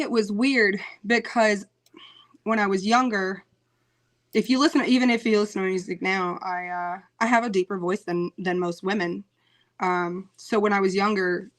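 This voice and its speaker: A woman singer talking casually in an interview, chatty, laughing often, with many "um" pauses. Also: medium pitch.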